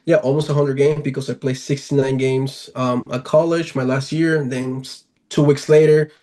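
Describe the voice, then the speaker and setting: A man's voice: medium pitch, little pitch movement, moderate pace, dark tone. A man in his twenties from Venezuela with a Latin American accent, talking casually as an athlete being interviewed. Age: 20s. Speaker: professional baseball player, interview guest.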